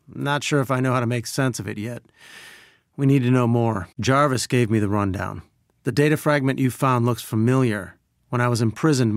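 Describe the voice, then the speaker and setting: An actor playing an earnest, brainy scientist and weary resistance leader, giving articulate, measured mission briefings in a video game. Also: male voice, medium pitch, dry recording, studio quality, close to an everyday voice. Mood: friendly, businesslike.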